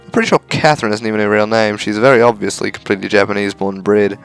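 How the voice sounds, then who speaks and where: A man's voice: lowish voice, steady tone, racing, gravelly, clean tone. A man reading a visual novel aloud as narration for a gaming video. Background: faint music.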